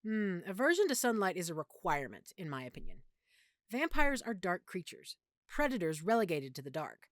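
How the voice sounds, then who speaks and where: Casting: actress as affectionate girlfriend with a vampire secret, intimate audio roleplay. Lively, swooping intonation, medium pitch, very dry close sound. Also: fairly quick, no echo. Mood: earnest, geeky. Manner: chatty, playful, geeky explaining.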